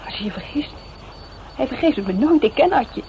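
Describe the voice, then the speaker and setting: A woman's voice: high-pitched, animated, fairly quick, mellow. An actress playing an anxious, loyal young woman in a Dutch radio crime drama, colloquial and questioning. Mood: nervous. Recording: noisy, narrow-band old radio drama recording.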